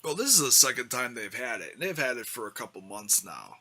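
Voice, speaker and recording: A man's voice: medium pitch, very animated, a little fast, bright, forceful tone. A man co-hosting a podcast, chatting casually about wrestling. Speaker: podcast co-host.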